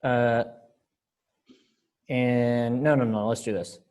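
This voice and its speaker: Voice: mid-range voice, fairly even intonation, slow pace, darkish tone, clean tone. Upbeat, encouraging organizer on a video call. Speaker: event organizer running a readers theater rehearsal. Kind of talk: casual group video-call chat.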